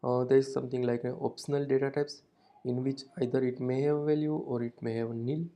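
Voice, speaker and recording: A man's voice: mid-range voice, little pitch movement, fairly quick, mellow. A man whose first language is Hindi, explaining code step by step in a screen-recorded programming tutorial, with frequent "uh" fillers and non-native phrasing.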